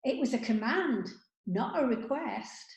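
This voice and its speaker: A woman's voice: a little high, animated, measured pace, vocal fry, a little mellow. A woman playing a chatty, matter-of-fact older friend in an amateur comedy sketch. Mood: gossipy. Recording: echoey room.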